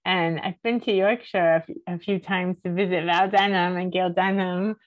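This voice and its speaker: A woman's voice: lowish voice, fairly even intonation, moderate pace, no echo, muffled recording. A woman hosting a casual, chatty conversation in an informal, enthusiastic way. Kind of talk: casual chat.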